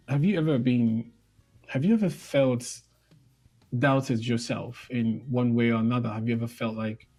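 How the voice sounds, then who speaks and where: A young man with a mid-pitched, mellow voice hosts a relaxed interview. He talks in a chatty, friendly way, adding short acknowledgements as his guest speaks. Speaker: interviewer host. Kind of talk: casual interview chat.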